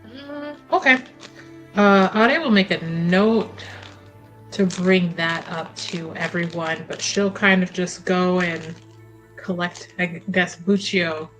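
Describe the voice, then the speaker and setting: Slow-talking, lowish-voiced woman gamer. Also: a bit bright, faint music behind, low-quality recording. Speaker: tabletop game player. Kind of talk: casual in-game planning chat.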